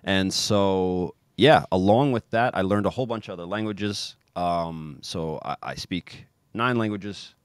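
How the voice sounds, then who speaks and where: Podcast guest: low American man in his thirties, lively, filler-heavy, dry studio mic. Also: unhurried, hardly any pauses, clean tone, dry recording. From Kansas City, USA. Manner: energetic, many fillers and restarts. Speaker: guest, pro soccer player. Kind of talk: casual podcast interview. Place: home room with football shirts on wall. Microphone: studio mic on boom arm, video call.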